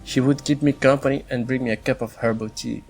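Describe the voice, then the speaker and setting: Man playing a creaky-voiced, wistful male elf. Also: mid-range voice, fairly even intonation, a little fast, darkish tone. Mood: nostalgic, confiding. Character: wistful male elf groom-to-be, confiding and reflective. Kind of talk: fandubbed visual novel dialogue. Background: background music.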